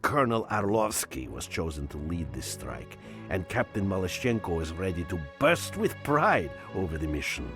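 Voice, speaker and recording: An actor playing a wry, war-weary Soviet officer, narrating a war game cutscene in a measured way. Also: male voice, sits low, big pitch swings. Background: music.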